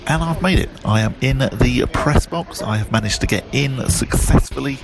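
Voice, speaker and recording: A man's voice: mid-range voice, normal speed, heavy background noise. Chatty male radio presenter. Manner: chatty, self-deprecating, lots of fillers. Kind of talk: casual on-the-go commentary and studio chat. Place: in a car, then walking outdoors at a stadium.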